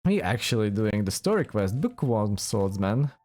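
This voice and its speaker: A man's voice: fairly low pitch, sing-song, normal speed, a little mellow. A man doing casual let's play commentary, wry and musing aloud as he goes. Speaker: gaming let's play host. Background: video game music.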